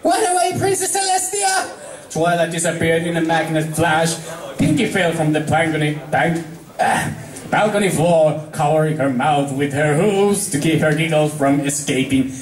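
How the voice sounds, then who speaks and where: A man's voice: fairly high pitch, normal speed, roomy sound, soft background music, rough recording. A man reading fan fiction aloud as storybook narration, an amateur who halts and stumbles over words.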